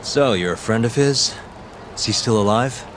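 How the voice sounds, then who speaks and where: A man's voice: mid-range voice, moderate pace, noisy background, close to an everyday voice. An actor playing a cool, determined young man, terse and self-assured, in a video game cutscene. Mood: confrontational. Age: young adult.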